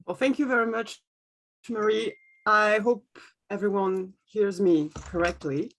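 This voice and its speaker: A woman with a French accent moderates an online panel over a video call. She introduces the speakers formally and politely, with a slightly halting delivery. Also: mid-range voice, steady tone. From France.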